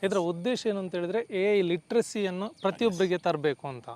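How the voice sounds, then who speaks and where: A man's voice: sits high, a little fast, a little mellow. A man giving explanatory answers as an executive guest, often mixing in English words. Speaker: company CEO, guest.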